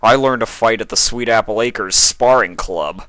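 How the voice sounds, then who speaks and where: An earnest young pony from a cartoon fan story, acted out by a man. He is protective and plain-spoken, with a bright, forceful edge. The sound is close and dry. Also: fairly low pitch, normal speed, no echo, pitched above the performer's own voice, lightly acted. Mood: defiant.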